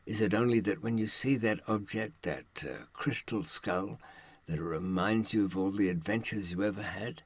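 A man in his seventies giving a reflective monologue, musing haltingly and posing rhetorical questions in a husky voice. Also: lowish voice, no room sound. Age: 70s. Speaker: presenter.

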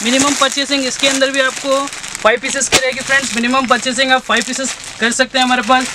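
A young man with a very high voice pitches his stock like a brisk salesman, calling out to his viewers as friends every few words. He is recorded on a camera mic inside a textile shop, with clear noise underneath. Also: flat delivery. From India. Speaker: shop owner showing products.

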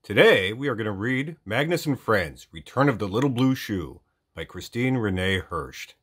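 A man reading a children's storybook aloud as an animated storytime narrator. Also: lowish voice, normal speed, husky. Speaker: storytime reader.

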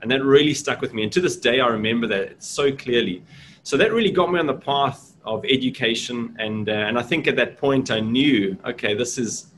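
A man's voice: medium pitch, a little fast, a bit bright, slight background noise. A middle-aged man from South Africa, a school deputy principal, talking earnestly and reflectively in a casual interview over a video call. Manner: earnest, reflective, lots of fillers. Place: indoors, small room, video call. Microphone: video call webcam.

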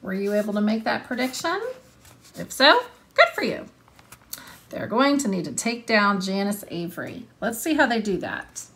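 A woman's voice: medium pitch, big pitch swings, moderate pace, a bit bright. A woman in her thirties, an elementary school teacher, reading aloud and explaining with questions to students, stressing key words. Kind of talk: read-along lesson with questions to students.

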